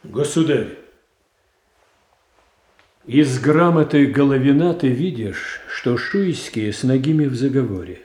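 Actor as slow, dark-voiced stern royal adviser. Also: male voice, mid-range voice, lively intonation, lightly acted. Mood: grave insistence. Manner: measured, formal, weighty declamation. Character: stern, calculating royal adviser, grave and unyielding. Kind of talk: classical Russian stage tragedy in radio adaptation. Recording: roomy, echoing stage sound.

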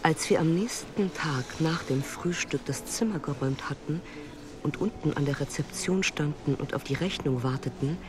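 A man performing a measured, observant first-person literary narration in a radio play. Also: a little high, lightly acted. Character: man recounting an odd night and morning, observant.

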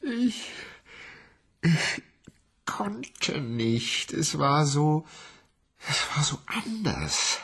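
Stammelnder, verzweifelter Verdächtiger. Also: male voice, fairly high pitch, very animated, extremely slow, long pauses, a bit bright, breathy edge, heavily acted. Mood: confused. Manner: stammering and pleading. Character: timid, bewildered man suspected of murder. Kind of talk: radio play acting.

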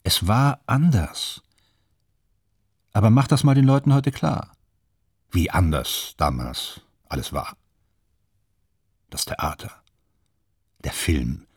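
Husky, low-voiced old man reminiscing. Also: sing-song, dry recording, studio quality, full character voice. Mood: wry reminiscing. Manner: halting, musing, short fragments, chuckling asides. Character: old German film insider wryly reminiscing about bygone days. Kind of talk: radio drama monologue, conversational reminiscing.

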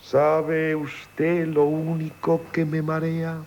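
An actor playing a vain, effusive marquis in love in Castilian Spanish radio theatre. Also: male voice, on the high side, completely flat delivery, drawn-out words, a little mellow, muffled recording, a little hiss or hum. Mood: boastful, lovestruck. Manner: pompous, effusive, exclamatory. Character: boastful, gushing, jealous, vain marquis in love.

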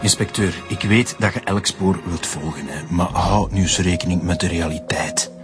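Low-voiced, indignant Flemish suspect. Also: male voice, moderate pace, music in the background. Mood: insistent. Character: indignant, slippery male murder suspect protesting innocence. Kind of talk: naturalistic radio drama acting.

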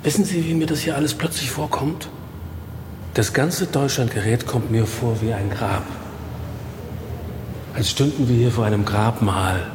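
An actor playing a brooding, cryptic writer in a radio drama, musing aloud and speaking in riddles. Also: male voice, mid-range voice, normal speed, pauses often, noisy background, lightly acted. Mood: melancholy musing. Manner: musing, probing, gives oracular answers. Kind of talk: German radio drama acting.

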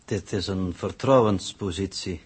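A man's voice: deep voice, unhurried, husky, exaggerated voice. A man playing a cold, exacting spaceship coordinator in a 1960s radio drama, speaking in formal, precise officialese. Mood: cold reproof. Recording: old 1960s radio recording.

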